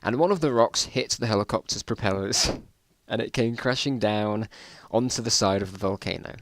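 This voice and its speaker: A man's voice: fairly low pitch, moderate pace, no echo. A man reading his own old stories aloud in a casual dramatic style, with self-mocking, bemused asides.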